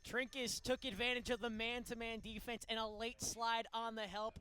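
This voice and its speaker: A man's voice: very high voice, little pitch movement, moderate pace, bright, forceful tone, clean tone, dry recording. A man giving analytical, explanatory color commentary on a live radio sports broadcast.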